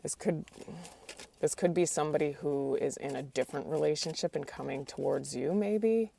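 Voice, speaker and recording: Low, gravelly woman reading tarot. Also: normal speed, mellow, a little hiss or hum. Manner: hesitant, restarts often, asks the cards aloud. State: losing her voice. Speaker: tarot reader.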